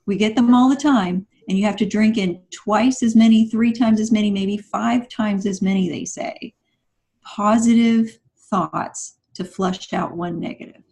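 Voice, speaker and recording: A woman's voice: mid-range voice, measured pace, vocal fry, mellow. A woman speaking as a podcast guest, giving motivational advice in an emphatic, instructive and encouraging way.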